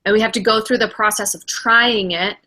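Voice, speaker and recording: Bright-voiced woman host musing on self-care. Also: medium pitch, steady tone, a little fast. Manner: reflective, acts out her own inner thoughts. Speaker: podcast host and spiritual director. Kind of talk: casual conversational chat.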